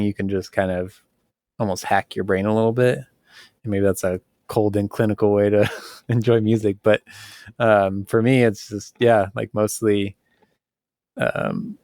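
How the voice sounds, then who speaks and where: A man co-hosting a casual podcast conversation, talking in a relaxed, rambling way with many fillers and frequent laughs. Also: on the low side, no echo, clean studio sound. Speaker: podcast co-host.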